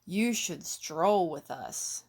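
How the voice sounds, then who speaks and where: A woman's voice: fairly low pitch, lively intonation, drawn-out words, exaggerated voice. A woman voicing cheerful, nosy storybook jungle animals who coax and question with well-meant eagerness. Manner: bright, coaxing questions and suggestions.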